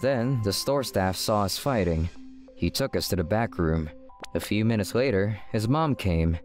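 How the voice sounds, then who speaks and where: A man's voice: on the low side, animated, a little slow, a little mellow, faint music behind. A man voicing a guarded teenage schoolboy who narrates his story casually in a manga dub. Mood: deadpan, narrating. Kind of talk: scripted first-person manga dub narration.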